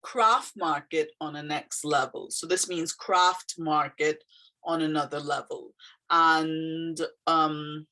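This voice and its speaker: A woman's voice: low voice, steady tone, takes it slowly, pushed and bright. A woman from Trinidad with a Trinidadian accent and a slight Canadian tinge, a poet explaining her poem in a thoughtful, hesitant way with many fillers. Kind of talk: casual explanatory talk.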